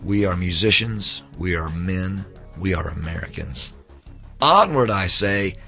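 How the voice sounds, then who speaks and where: A hoarse man, hosting a podcast from a recording studio in a lively, mock-grandiose, folksy way. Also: low voice, a little slow, lo-fi sound, soft background music. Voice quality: raspy. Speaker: podcast host. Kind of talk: casual chat.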